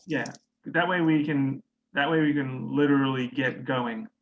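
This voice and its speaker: A man leading a planning meeting on a video call, decisive, with quick restarts. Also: mid-range voice, fairly even intonation. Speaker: conference planning team lead. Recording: dull audio with faint room noise.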